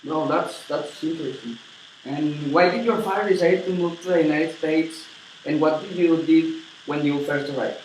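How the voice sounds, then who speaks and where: Halting male host, very echoey room. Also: fairly high pitch. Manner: halting, repeats words. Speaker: interview host. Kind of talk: scripted school-project interview. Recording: distant, very echoey room with noise.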